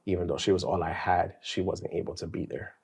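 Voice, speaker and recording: A man co-hosting a faith podcast, sharing his childhood story in a casual, animated way with some slang. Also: deep voice, a little fast. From New York. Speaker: podcast co-host. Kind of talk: casual testimony chat.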